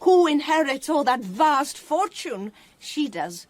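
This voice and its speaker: A woman's voice: high-pitched, very animated, takes it slowly, no room sound, full character voice. An actress playing a distraught, devoted young Frenchwoman in a radio drama, with a pleading, melodramatic manner. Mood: suspicious.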